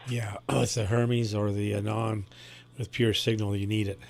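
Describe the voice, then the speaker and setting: A man's voice: lowish voice, flat delivery, a little slow. A man chatting casually about technical gear over amateur radio, heard through a shortwave transmission. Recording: faintly noisy ham radio signal.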